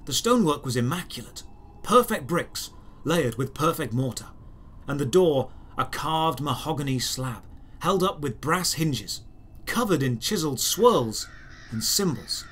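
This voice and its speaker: A man reading dramatic first-person fantasy narration as a storyteller, measured, with deliberate pauses. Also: on the high side, sing-song, a bit bright, husky. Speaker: writer and narrator.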